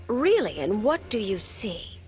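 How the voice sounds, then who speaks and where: A woman voicing a seductive, venomous villainess who rules plants in a video game, with sultry, taunting, drawn-out phrasing. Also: mid-range voice, very animated, darkish tone, soft background music. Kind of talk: video game character dialogue.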